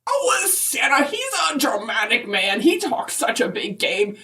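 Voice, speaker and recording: A woman as centuries-old Christmas matriarch, highish voice, swooping intonation, chatty, opinionated, folksy, comic podcast interview. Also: big pitch swings, unhurried, sharp tone, exaggerated voice. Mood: opinionated, emphatic. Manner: rambling, emphatic, folksy.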